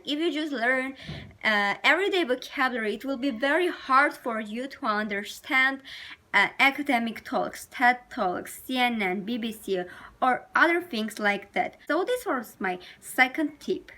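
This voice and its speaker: A woman with a high, very bright and forceful voice speaks as the host of a study-advice vlog. Her first language is Armenian. She talks earnestly to camera in non-native English, often searching for words. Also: expressive, normal speed.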